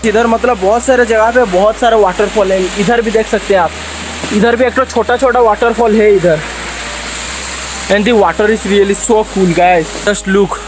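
A man's voice: high-pitched, fairly even intonation, a little slow, over music, poor audio. A young man from Odisha gives casual travel-vlog commentary outdoors into a handheld phone, mixing in English phrases and repeating himself for emphasis. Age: young adult. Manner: mixes English phrases into his talk, repeats warnings. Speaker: travel vlogger. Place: outdoors, steep forest trail. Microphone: handheld phone at arm's length.

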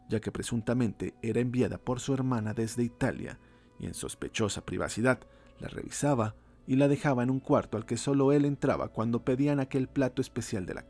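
A man reading a scripted horror story as narrator, slow and ominous, dropping his voice low on the grim lines. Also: darkish tone.

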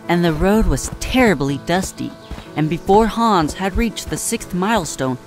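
Storybook narrator over faint music. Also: mid-range voice.

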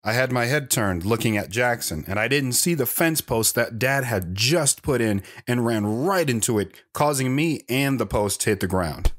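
A man's voice: mid-range voice, expressive, moderate pace, few pauses, a bit bright. A man playing a wanderer recounting his hard life in first-person audio drama narration. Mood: reflective.

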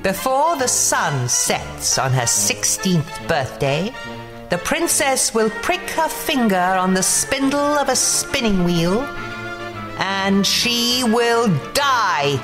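A woman plays a wicked fairy sorceress from a storybook. She is commanding and threatening, with sweeping pitch and slow, drawn-out words. Music plays behind her. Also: big pitch swings. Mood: menacing. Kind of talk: storybook character reading.

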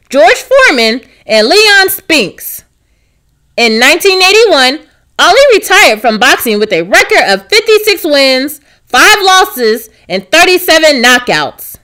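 Woman, high voice, very varied intonation, children's read-aloud narration, clearly enunciated. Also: fairly bright tone. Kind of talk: scripted children's storybook narration.

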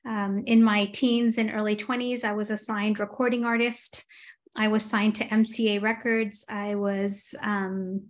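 A woman's voice: medium pitch, level tone, unhurried. A woman in her forties from Los Angeles, a guest entrepreneur chatting casually in an interview over a video call, with frequent "um" fillers. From Los Angeles, USA. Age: 40s. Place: home room with window blinds.

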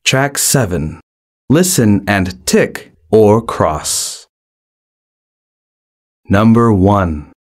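A man's voice: on the low side, expressive. A man announcing track numbers and exercise instructions for a language course. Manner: very slow, deliberate announcing. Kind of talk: reading instructions.

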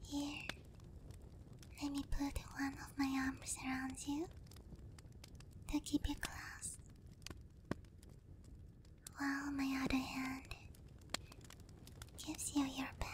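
A woman acts out a giant demon queen in an ASMR roleplay, whispering softly and breathily in a high register. She is teasing, doting and quietly in command. Her delivery is unhurried and very even in pitch, coaxing the listener up close to the mic. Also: noisy background. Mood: tender, coaxing. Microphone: close ASMR microphone.

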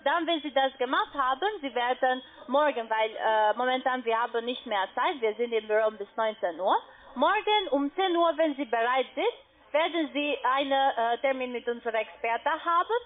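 High-voiced woman on a tinny phone line. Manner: halting, insistent, step-by-step instructing. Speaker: call centre agent for an online trading platform. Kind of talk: scripted-sounding phone sales instruction. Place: office, on a phone call. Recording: muffled narrow phone line.

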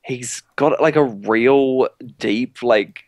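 A man's voice: medium pitch, slow pace, a little mellow, dry recording. A young man with a New Zealand accent joking around while playing a game with a friend. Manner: laid-back, joking. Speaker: gamer, co-host. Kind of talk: casual let's play chat.

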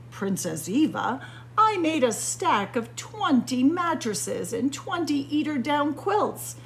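A woman's voice: fairly high pitch, slight background noise, heavily acted. Woman reading as a proud, emphatic storybook prince. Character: proud, instructive married prince giving advice. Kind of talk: storybook read-aloud.

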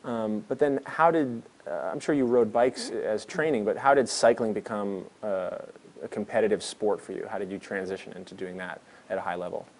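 A man's voice: on the low side, moderate pace, a little mellow. A man in his thirties hosting a show, asking friendly, chatty interview questions in a small studio.

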